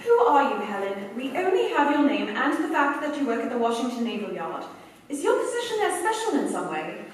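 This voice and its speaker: Brisk, formal woman commander, played by an actress, animated high voice, radio drama in an echoing hall. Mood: inquiring. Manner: polite, clipped, formal, businesslike. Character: brisk, composed wartime woman commando leader, politely commanding. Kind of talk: staged 1940s-style radio play acting. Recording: echoey hall, distant stage mic, faint noise.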